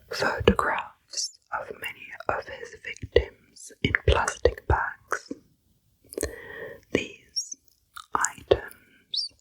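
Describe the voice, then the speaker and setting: A woman whispering a slow true crime story as an ASMR narrator. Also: low-quality recording. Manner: slow, soft unvoiced whisper throughout.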